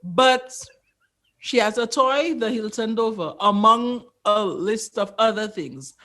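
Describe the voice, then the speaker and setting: A woman with a Caribbean English accent co-hosting a casual podcast, talking in a playful, slangy way and giving shout-outs. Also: a little high, slow pace. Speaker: podcast co-host.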